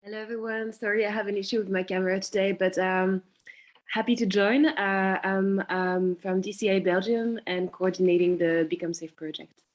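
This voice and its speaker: A woman's voice: medium pitch, steady tone, normal speed. A woman giving a brief introduction as a project coordinator in an online meeting.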